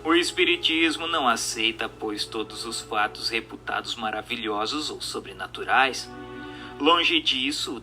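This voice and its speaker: A man with a Brazilian accent reading a scripted text in an emphatic, declamatory, didactic manner. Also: medium pitch. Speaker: narrator. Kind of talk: scripted narration of a spiritist text. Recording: music bed under the voice. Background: music.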